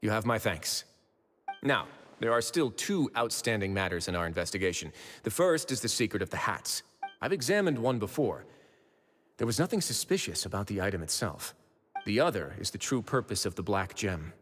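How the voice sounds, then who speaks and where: An actor playing a composed, shrewd duke in a video game, speaking in a measured, formal and polite way. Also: male voice, on the low side, expressive, fairly bright tone, in a large hall, faint music behind. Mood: calm, measured. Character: composed, courteous duke and shrewd investigator, adult man. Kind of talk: scripted video game voice acting.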